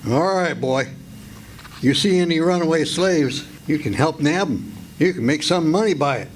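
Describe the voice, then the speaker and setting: An actor playing a gruff, brusque slave hunter in a radio play. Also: male voice, a little high, expressive, normal speed, natural voice. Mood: suspicious, commanding. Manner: brusque, questioning. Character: gruff, bossy armed slave hunter, grown man. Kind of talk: old-time radio play acting. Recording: live stage, faint background noise.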